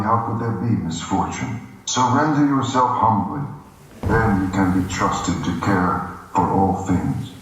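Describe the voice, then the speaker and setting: A man giving a measured recitation, heard in a very echoey room. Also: low voice, fairly bright tone. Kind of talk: measured recitation of philosophical text.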